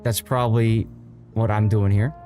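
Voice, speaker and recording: A man's voice: on the low side, little pitch movement, normal speed, warm tone, faint music behind. A man streaming a video game with casual, easygoing live commentary, thinking aloud as he goes.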